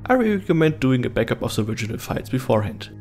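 A man's voice: mid-range voice, big pitch swings, very fast, a little mellow. A man reading scripted step-by-step instructional narration as a tutorial host. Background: background music.

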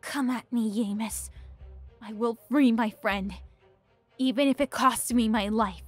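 Woman acting a high-voiced, earnest young heroine. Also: steady tone, measured pace, soft background music. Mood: determined. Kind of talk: dramatic audio drama acting.

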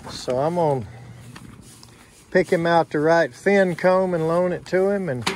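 A man working as an HVAC technician, giving a plain, unhurried on-site explanation outdoors beside air conditioner units, recorded on a handheld phone camera. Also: medium pitch, slight background noise. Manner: plain, unhurried, points things out step by step.

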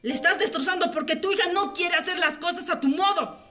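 Actress as defiant middle-aged mother, high bright voice, firm, emotional, radio soap, music behind. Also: a little fast. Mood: bitter, resolute. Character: defiant battered wife and mother standing firm. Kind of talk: radio soap opera acting.

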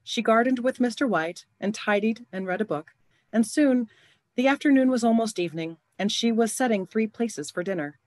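A woman's voice: a little high, moderate pace, a little mellow, dry recording. A woman narrating a radio play in a scripted storytelling style.

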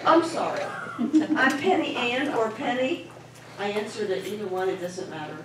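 Rambling older woman in boomy hall. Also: normal speed, background noise. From Northern Kentucky. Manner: friendly and rambling. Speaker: first-time attendee. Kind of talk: informal remarks at a meeting. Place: large meeting hall indoors. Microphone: handheld mic, recorded from far camera. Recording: echoey hall from far off.

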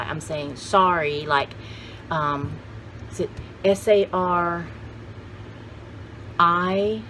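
A woman's voice: on the low side, fairly bright tone. A woman in her sixties chats casually to camera as a vlog host, rambling and enthusiastic, with exclamations. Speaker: vlog host showing thrift haul finds. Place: cluttered home craft room, indoors. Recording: clearly noisy home video background.

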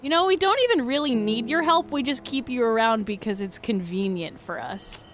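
A woman's voice: on the high side, animated, normal speed, fairly bright tone. A woman voicing an irritated, dismissive policewoman in an improvised comedy game dub, curt and sarcastic. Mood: annoyed.

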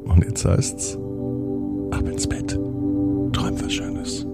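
A man narrating a children's bedtime story gently and expressively, with a husky, gravelly voice, speaking to the child listener. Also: slow, over loud music.

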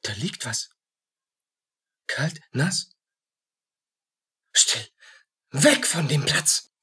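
An actor playing a tormented, feverish soldier unraveling in guilt in a radio play, husky and agitated, in fragments. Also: male voice, medium pitch, lively intonation, very slow, bright, forceful tone, exaggerated voice. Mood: paranoid. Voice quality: husky, rough. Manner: agitated, fragmented, muttering and crying out.

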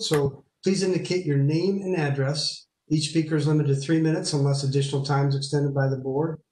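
Middle-aged guy chairing a zoom board meeting, calm careful reading, echoey room. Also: medium pitch. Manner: measured, reads names and dates slowly. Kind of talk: formal meeting procedure and memorial reading. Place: small home room. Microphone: video call. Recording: roomy video call audio.

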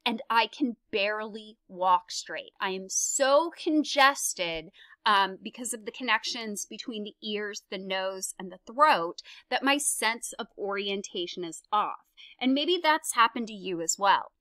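Bright, chatty woman lecturer. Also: mid-range voice, lively intonation, a little slow, no room sound. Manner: chatty, self-deprecating, gives hands-on instructions. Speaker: psychology lecturer. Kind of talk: recorded slide lecture. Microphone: close mic, voice-over on slides.

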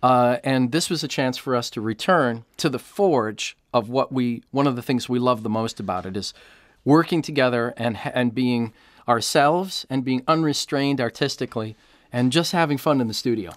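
A man's voice: medium pitch, normal speed, no echo. Middle-aged man chatting, dry studio sound. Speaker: audiobook actor, company co-founder. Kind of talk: casual interview talk. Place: small recording studio.

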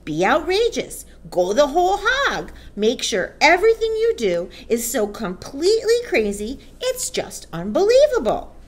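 A woman's voice: high voice, wildly swooping pitch, measured pace, few pauses, voice pushed up, full character voice. A woman voicing a clever, assured schoolgirl in a storybook, explaining things emphatically and with certainty. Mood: emphatic, assured.

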